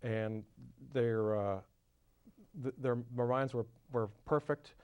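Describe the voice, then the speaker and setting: A man who sings in a barbershop quartet, answering interview questions hesitantly with many pauses and fillers. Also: medium pitch, fairly even intonation, clean tone, muffled recording. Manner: hesitant, many ums and uhs, warm-hearted.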